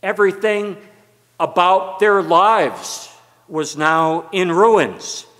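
An older American man, a pastor, preaching a sermon from a pulpit with broad gestures. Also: high voice. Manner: slow, expressive preaching with broad hand gestures. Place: church sanctuary, at a pulpit. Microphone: pulpit mic. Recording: long church reverb.

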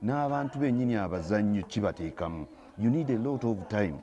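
A man's voice: fairly low pitch, animated, a little fast, lo-fi sound, a crowd in the background. A Ugandan man in his forties, a theatre actor giving an outdoor street interview, talking emphatically and lecturing, sometimes rising to heated bursts. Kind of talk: street interview, mixing Luganda and English. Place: outdoors by an orange door. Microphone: handheld reporter mic.

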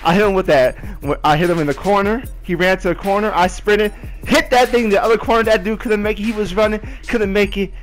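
A man's voice: high-pitched, fast talker, hardly any pauses. Young American man telling slangy stories over music. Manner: slangy, repetitive, animated retelling with acted quotes. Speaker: gaming video storyteller. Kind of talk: casual personal storytelling. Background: background music with guitar.

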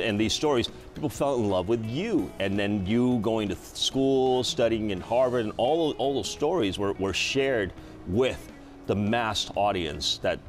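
A middle-aged man hosting a late-night talk show in an effusive way, often repeating and restarting his phrases. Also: lowish voice. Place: TV studio.

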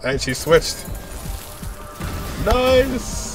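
A man's voice: high-pitched, very animated, extremely slow. A man in his twenties giving casual live commentary as he reacts to game cutscenes. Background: game soundtrack music.

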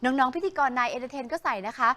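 A woman's voice: sits high, very fast, sharp tone. A woman presenting to television viewers as an entertainment show host.

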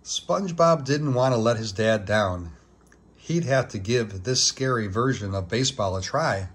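A man reading a children's book aloud in an expressive, deliberate storytelling style. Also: medium pitch.

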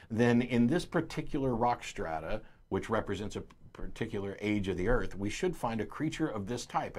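Middle-aged man hosting a call-in show. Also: mid-range voice. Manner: emphatic, explanatory, gestures while talking. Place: studio, at a desk. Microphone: lapel mic.

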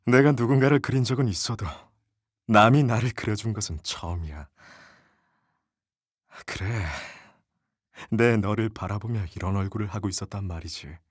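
A man playing an amused, indulgent Joseon nobleman in a historical roleplay ASMR, with archaic, gently commanding, teasing speech. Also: on the low side, moderate pace, pauses often, no room sound, clean studio sound, lightly acted. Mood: touched, tender. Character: wealthy Joseon nobleman, amused, indulgent patron of a young gisaeng. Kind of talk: Korean historical roleplay ASMR audio drama. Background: traditional Korean court music.

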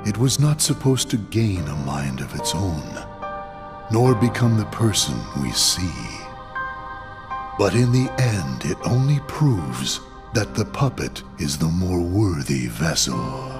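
Deep cold game villain, slow lively delivery, score underneath. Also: male voice, music in the background, exaggerated voice. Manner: slow, measured, grave. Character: cold commanding villainous male leader. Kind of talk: video game cutscene acting.

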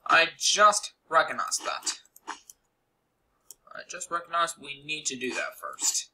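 A man's voice: fairly high pitch, sing-song, takes it slowly, pushed and bright, poor audio. A young man casually narrating a game-building tutorial over a screen recording, rambling with many fillers and asides.

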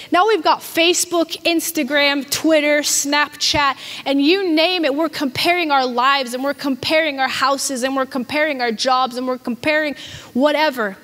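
A high-voiced woman in her thirties preaching a sermon into a handheld mic. She talks with animated emphasis and often stumbles and repeats words as she builds a point. Her voice carries a long reverb from the church hall around her. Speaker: pastor. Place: church stage with a small audience.

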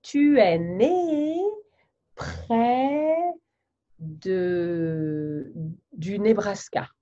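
A middle-aged woman hosting an interview over a video call, asking simple questions in slow, theatrical teacher-style speech. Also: medium pitch, animated, mellow, muffled recording. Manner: theatrical, exaggerated questions, gestures broadly. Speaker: host interviewing a colleague. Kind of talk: slow, simple French for learners. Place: home office, indoors, virtual background. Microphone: webcam on a video call.

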